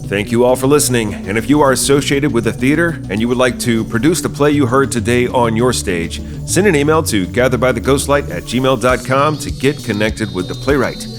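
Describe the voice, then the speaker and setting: A man's voice: medium pitch, brisk, few pauses, music in the background. A man hosting a podcast, chatting casually with a guest and addressing listeners as a playwright and host. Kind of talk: casual interview chat and show outro.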